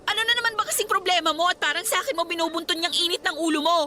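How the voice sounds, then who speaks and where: A woman's voice: very high voice, racing, bright, forceful tone, slight background noise. An actress playing a distressed young female student pleading with her boyfriend in a whiny way, in a radio drama. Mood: defensive, pleading. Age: young adult.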